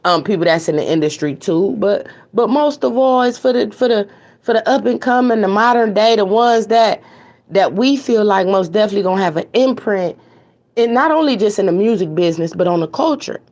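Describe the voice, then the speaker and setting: A woman hosting an online talk show, hoarse and raspy from a cold with a stuffy nose, chatting casually and repeating herself to her viewers. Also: mid-range voice, animated, a little fast, a little mellow. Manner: chatty, repeats phrases, talks straight to viewers. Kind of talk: casual livestream chat. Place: home with TVs on in the background.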